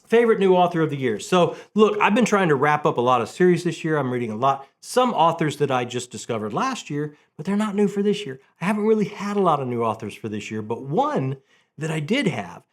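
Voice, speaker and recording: Emphatic middle-aged man, slightly high voice. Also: very animated, brisk. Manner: emphatic and enthusiastic, repeats phrases for emphasis. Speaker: host of a book review channel. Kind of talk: casual chat about books.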